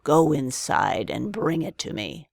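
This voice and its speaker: A woman's voice: sits low, big pitch swings, creaky, darkish tone, dry recording, studio quality, pitched below the performer's own voice, exaggerated voice. A woman voicing a commanding, scheming old man in a children's storybook tale. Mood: stern, urgent. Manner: commanding, deliberate.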